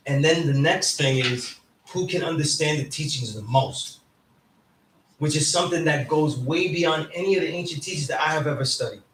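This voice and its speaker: A man's voice: medium pitch, a bit bright. A man lecturing informally to a class, emphatic and often checking in with "right?". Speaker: teacher. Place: indoor classroom. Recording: echoey room, distant mic, background noise.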